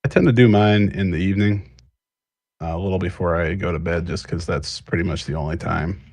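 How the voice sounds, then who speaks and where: A man's voice: low voice, fairly even intonation, a little fast, a little mellow, dry recording. A man chatting casually as a podcast guest, giving easygoing advice as a script writer.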